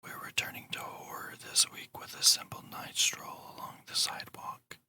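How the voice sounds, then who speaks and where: A narrator speaking entirely in a breathy whisper, guiding a meditation in a slow, soothing, deliberate way in ASMR style.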